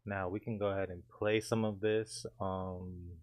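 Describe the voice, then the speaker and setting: A man with a deep voice calmly walking through his home studio mixing setup in a casual tutorial. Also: measured pace. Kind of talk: casual screen-share tutorial.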